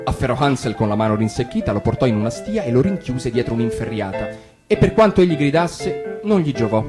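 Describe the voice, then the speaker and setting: A man reads a fairy tale for radio with a lively, varied delivery, sinking low and hushed for the dark passages. Gentle plucked guitar plays under his voice throughout. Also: a little fast. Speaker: narrator. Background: guitar music.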